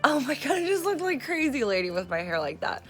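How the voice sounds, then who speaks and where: A woman vlogging at home on her phone, her voice hoarse and rough, chatting in a meandering way with lots of fillers and casual swearing. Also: high voice, sing-song, quick pace, fairly bright tone, faint music behind. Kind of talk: casual rambling vlog chat. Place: at home, in a room being turned into an office. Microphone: phone camera recording herself.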